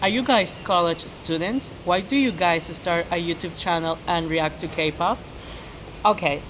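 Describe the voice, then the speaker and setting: A woman's voice: fairly low pitch, a little slow. An eighteen-year-old woman hosting a casual Q&A, chatty and giggly, with loose English grammar. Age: teens. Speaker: channel host. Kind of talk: casual Q&A chat. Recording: noisy background hiss.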